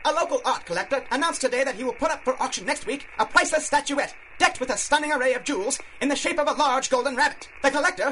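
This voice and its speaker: A woman's voice: sits high, a bit bright, slight background noise, rough recording. A woman playing a radio newsreader, delivering a scripted bulletin in a radio drama.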